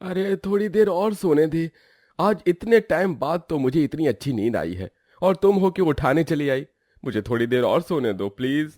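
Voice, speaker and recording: A man's voice: on the high side, lively intonation, normal speed, darkish tone, no echo, studio quality. A man voicing a lazy, scheming young woodcutter husband who whines and bargains in a cartoon story. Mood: whining, sleepy. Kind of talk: Hindi cartoon story voice acting.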